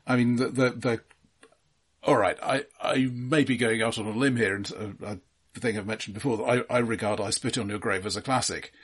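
A man co-hosting a casual film discussion, speaking in a measured, analytical way with halting phrasing. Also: mid-range voice, steady tone, a bit bright, husky. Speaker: film podcast co-host.

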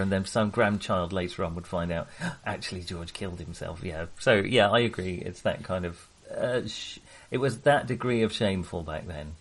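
Husky-voiced British man, wry and conversational. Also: fairly low pitch. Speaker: podcast co-host. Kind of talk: casual film discussion.

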